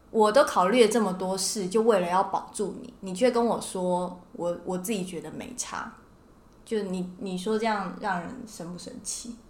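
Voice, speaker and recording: Woman podcast co-host, Taiwanese Mandarin accent, medium pitch, conversational argument, echoey room. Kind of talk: casual discussion. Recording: roomy, echoing podcast room.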